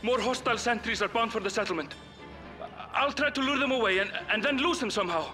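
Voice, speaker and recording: Young man, game drama, earnest, high-pitched, forceful edge. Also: fast talker, faint music behind. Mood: alarmed, resolute. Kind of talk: video game cutscene voice acting.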